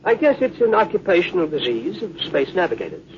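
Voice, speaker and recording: An actor playing a thoughtful, wry ship's doctor in a 1950s radio drama. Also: male voice, sits high, sing-song, a little fast. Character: male ship's doctor, thoughtful, wryly exasperated. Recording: dull old radio recording, faint noise.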